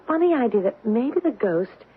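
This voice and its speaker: A woman's voice: on the high side, very animated. A woman playing an anxious young mother in a haunted house, speaking hesitantly in a radio drama. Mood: uneasy, hopeful. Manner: hesitant, halting, stammering. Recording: tinny, noisy old radio broadcast.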